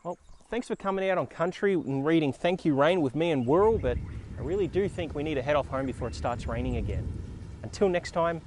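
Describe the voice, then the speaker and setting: An Australian man hosts a children's read-aloud. His voice sits a little high and swings widely in pitch. He comes across as playful and expressive, talking as if to young listeners. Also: brisk, slight background noise. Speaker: children's storyteller and show host. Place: outdoors in a forest.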